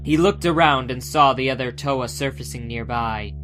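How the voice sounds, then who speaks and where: A man's voice: medium pitch, normal speed. A man giving a scripted, dramatic narration of a fantasy audiobook. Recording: music behind the voice.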